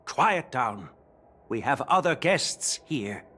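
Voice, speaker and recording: A man voicing a dignified elderly general who speaks in a measured, courteous and stately way, recorded as a studio game dub. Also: mid-range voice, sing-song, bright, forceful tone, full character voice. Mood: stern.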